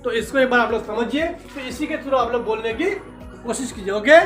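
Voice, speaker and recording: A man gives an English lesson in a classroom, slipping English phrases into Hindi and asking his students questions. His voice sits very high. The room is echoey, with faint music underneath. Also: brisk, a bit bright. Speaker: English teacher.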